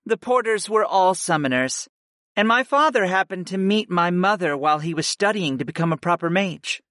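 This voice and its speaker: A man voicing a calm, gentle aunt who speaks softly, in an audiobook. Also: high-pitched, moderate pace, dry recording, clean studio sound, voice pushed up. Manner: soft-spoken. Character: calm, gentle middle-aged aunt, a mage. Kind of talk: audiobook character voice.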